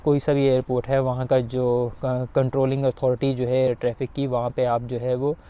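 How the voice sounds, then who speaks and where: A man giving an informal explainer to viewers, speaking haltingly with repeated filler phrases. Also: medium pitch, level tone, dark tone, clear, resonant tone. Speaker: video guide host.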